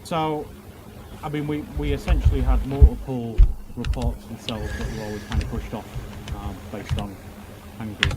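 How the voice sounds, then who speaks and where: A man giving testimony as a police officer, mid-pitched and stumbling, restarting phrases and filling gaps with "um". His voice comes through a noisy game voice chat with a clear hiss behind it. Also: fairly even intonation. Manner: halting, repeats words, many fillers. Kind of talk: roleplay courtroom testimony.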